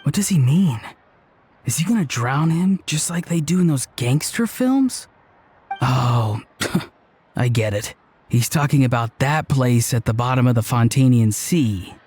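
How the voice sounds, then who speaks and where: A man's voice: medium pitch, lively intonation, unhurried, darkish tone, slightly rough. An actor playing a naive, chatty, earnest male bystander in a video game. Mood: curious.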